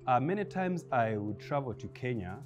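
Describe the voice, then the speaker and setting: A man in his thirties with a Ugandan English accent, a film producer talking as a guest in a studio interview, lively and acting out the voices he quotes. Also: mid-range voice, moderate pace, lo-fi sound, soft background music. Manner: animated storyteller, acts out quoted voices with laughs. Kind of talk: interview conversation. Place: bright indoor studio set with armchairs.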